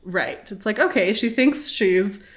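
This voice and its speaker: A young woman from the Philippines, a cooking show host, chatting as a guest in a casual interview, bubbly and quick to laugh, with lots of fillers. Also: mid-range voice, expressive. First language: Tagalog.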